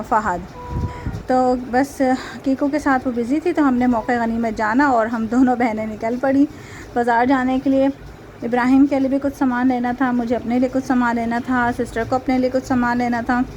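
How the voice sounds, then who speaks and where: Chatty young Pakistani woman vlogger, Pakistani Urdu accent, higher voice, noisy home behind her. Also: a little mellow. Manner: chatty, laughs often, many fillers. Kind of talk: casual vlog voice-over.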